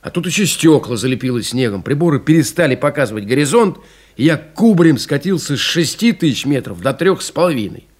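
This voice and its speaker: An actor playing a rugged, proud aviator telling of his ordeal in a restrained, intense dramatic monologue with a husky voice. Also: fairly high pitch, sing-song, fairly quick. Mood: tense. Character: rugged surviving male aviator recounting his mountain ordeal, proud and plainspoken. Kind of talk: dramatic first-person monologue in an audio play.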